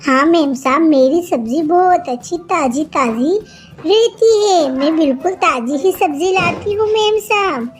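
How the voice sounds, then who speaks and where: Casting: woman to play high-voiced cartoon sparrow vegetable seller, feisty, singsong, quick to scold, light music underneath. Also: lively intonation. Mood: cheerful, eager. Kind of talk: Hindi kids' cartoon character voice.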